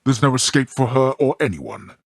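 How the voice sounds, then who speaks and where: Underworld god, video game character, husky, stern, scornful, imperious, formal, theatrical, dramatic pitch swings, dry studio sound. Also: male voice, wildly swooping pitch, moderate pace, dry recording, full character voice. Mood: scornful, displeased. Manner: imperious, formal, disdainful, clipped commands.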